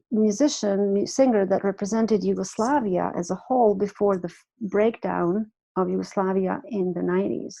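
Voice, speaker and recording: A woman in her forties, originally from Croatia, chatting as a podcast guest. Her voice has a gravelly edge and she talks warmly, restarting sentences as she goes. She sits at medium pitch for a woman. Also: rough recording. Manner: warm, chatty, frequent restarts and laughs. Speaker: guest, music artist and life coach. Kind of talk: casual podcast interview.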